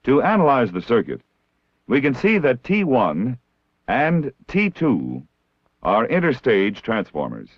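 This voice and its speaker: An American man reading scripted instructional narration in a measured, formal, didactic way, like a 1960s military training film. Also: mid-range voice, wildly swooping pitch, dry recording. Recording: dull, lo-fi 1960s film soundtrack.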